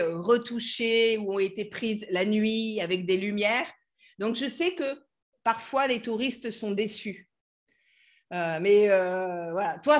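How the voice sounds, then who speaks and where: A woman hosting a casual interview, chatty and full of fillers like "hein" and "voilà". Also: medium pitch. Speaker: host of a French learning club.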